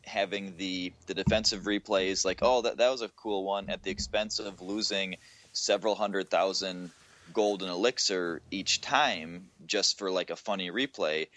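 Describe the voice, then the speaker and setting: A man's voice: sits low, moderate pace, fairly bright tone. A man co-hosting a gaming podcast, talking in a casual, informal and opinionated way. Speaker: podcast co-host.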